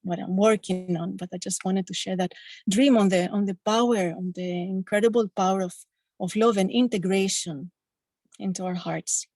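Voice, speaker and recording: A woman whose first language is Greek, slowly and haltingly telling a personal story on a video call. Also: mid-range voice, steady tone, darkish tone, dry recording. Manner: slow, halting, reflective storytelling. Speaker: satsang participant.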